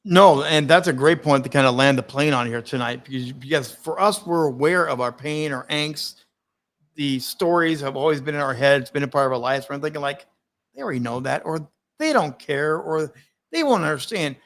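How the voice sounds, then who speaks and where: A man hosting a live-stream conversation, talking in an energetic, emphatic way, laughing often and speaking openly about his stutter. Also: on the high side, moderate pace. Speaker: host.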